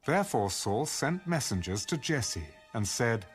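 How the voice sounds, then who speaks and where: A man narrating an audio Bible in a formal, measured storytelling style. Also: medium pitch, big pitch swings, soft background music.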